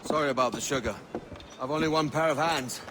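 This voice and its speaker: An actor playing a brash, hot-tempered young pirate with a British accent in a video game. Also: male voice, fairly high pitch, a little fast, very noisy, lightly acted. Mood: apologetic. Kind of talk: video game cutscene acting.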